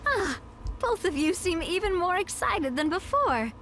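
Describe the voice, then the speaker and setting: A woman's voice: extremely high pitch, very animated, unhurried, fairly bright tone, a little hiss or hum, heavily acted. A woman playing a cheerful, polished young event organizer in an anime game, explaining things in an articulate, upbeat way. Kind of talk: anime game character dialogue.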